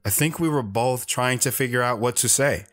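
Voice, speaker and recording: Audio drama lead: male actor as a hesitant, earnest lone wanderer narrating lost romance, medium pitch, faint music. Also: normal speed, fairly bright tone, close to an everyday voice. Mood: wistful, reflective. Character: lone wandering man wistfully recounting his romance. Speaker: storytelling guest on a radio show. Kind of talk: dramatic first-person narration. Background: plucked guitar music.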